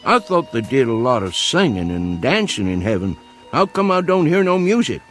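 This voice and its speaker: An actor playing a stubborn, plain-spoken country hunter in a vintage TV drama, with a rural Southern American accent and homespun manner. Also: male voice, mid-range voice, big pitch swings, moderate pace, a bit bright, faint music behind. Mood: curious. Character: stubborn, plain-spoken backwoods male coon hunter, loyal to dog. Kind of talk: vintage television drama acting.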